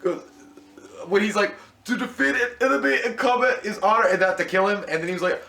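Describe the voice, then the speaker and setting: A man in a loose movie chat who rambles, swears freely and gets loud and lively when he relives favorite scenes. His voice is bright and a little high. The room around him has a clear echo. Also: fast talker. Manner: rambling, profane, animated when retelling scenes. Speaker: co-host of a movie channel. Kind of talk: casual chat.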